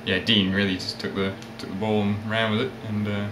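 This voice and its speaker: A man of about thirty with a mixed South African, English and Australian accent, chatting casually and a little haltingly as an interview guest. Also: on the low side. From South Africa, with years in England and Australia. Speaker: interview guest, ukulele player and magazine editor. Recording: roomy echo, steady background noise.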